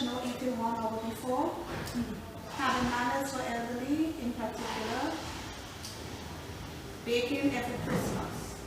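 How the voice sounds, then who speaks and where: Slow, higher-voiced woman reading a poem. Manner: slow list reading, small self-corrections. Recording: echoey room, far from the mic, noise.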